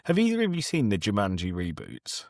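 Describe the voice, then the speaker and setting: A man's voice: fairly low pitch, huge pitch swings, fairly quick, no echo, studio quality. A man co-hosting a casual film review chat, exasperated, blunt and sweary. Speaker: podcast co-host.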